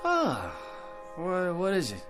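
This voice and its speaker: A man's voice: high voice, wildly swooping pitch, slow pace, a bit bright, soft background music, full character voice. An actor in a radio drama playing a talkative, jobless male barfly, pompous yet insecure, with a stammering, rambling delivery. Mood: chatty, puzzled.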